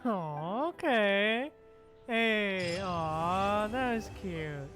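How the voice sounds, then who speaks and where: A woman's voice: medium pitch, animated, extremely slow, over music. A woman streamer reacting casually to a game, laid-back and often repeating her phrases.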